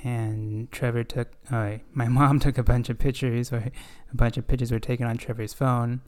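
Earnest man with a smooth, even voice. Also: medium pitch. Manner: earnest, reflective, halting with fillers. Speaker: podcast host. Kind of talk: casual scripture discussion.

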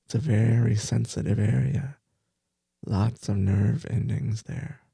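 A man who is a meditation teacher, leading a guided body scan for sleep with slow, soft, soothing phrasing that repeats itself. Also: fairly low pitch, steady tone, vocal fry, husky, no room sound, clean studio sound.

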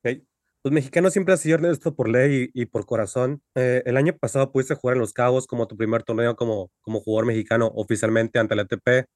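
A man's voice: mid-range voice, steady tone, fast, a little mellow, dry recording, clean studio sound. A man hosting an interview, asking polite, lightly formal questions with occasional fillers. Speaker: interviewer, host.

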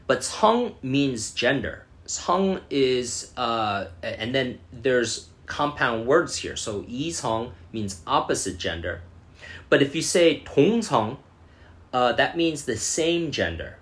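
A man's voice: mid-range voice, very animated, a bit bright. Man, learner vlogger; casual, hesitant delivery, sounds out new words. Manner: halting, lots of fillers, sounding out foreign words slowly. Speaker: language learner reacting to a show. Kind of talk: casual commentary.

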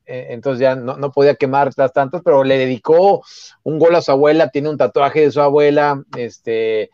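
A man's voice: mid-range voice, moderate pace, clean tone, dry recording. A man co-hosting a live sports stream, chatting casually and greeting viewers with many fillers. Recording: dry, dull lo-fi sound.

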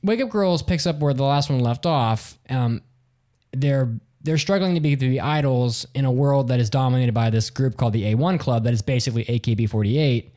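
A man with a deeper voice co-hosting a casual podcast, rambling and restarting his sentences. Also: fairly quick, dark tone. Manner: rambling, self-interrupting, restarts. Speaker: podcast co-host.

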